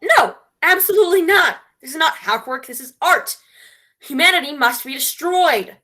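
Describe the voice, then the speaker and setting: A woman's voice: high voice, lively intonation, normal speed, pushed and bright. A woman playing a domineering, self-important playwright and director in a theatrical comedy, with a grandiose, imperious manner. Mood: exasperated. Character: pompous, domineering female radio playwright-director, self-declared genius.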